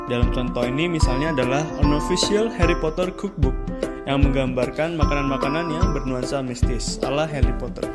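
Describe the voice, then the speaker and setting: A man reading a scripted explanation as the narrator of an educational video, steady and explanatory. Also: medium pitch, fairly quick. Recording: voice-over under loud music.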